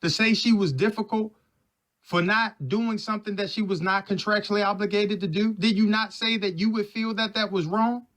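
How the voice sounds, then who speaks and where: A man's voice: high voice, fairly even intonation, normal speed, fairly bright tone. A man arguing on a recorded phone call, pressing his questions insistently and repeating himself. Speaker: caller in a heated phone dispute. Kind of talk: argumentative phone conversation.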